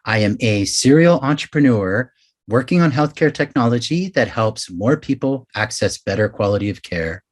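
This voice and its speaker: A man's voice: mid-range voice, lively intonation, moderate pace. A man hosting a podcast, reading a polished intro and then interviewing a guest. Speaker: podcast host.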